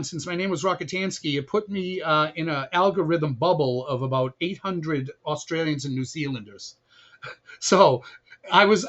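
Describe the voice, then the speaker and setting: A man's voice: on the high side, fairly bright tone. A man from Chicago chatting as a podcast guest, talking freely with many restarts and laughs. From Chicago, USA. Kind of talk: casual conversation. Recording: dull lo-fi audio.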